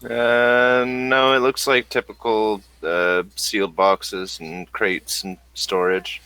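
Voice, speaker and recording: A man narrating a sci-fi tabletop session as game master, with a bright, forward tone set fairly low. He takes his time and describes each scene slowly while he works it out. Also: level tone, smooth.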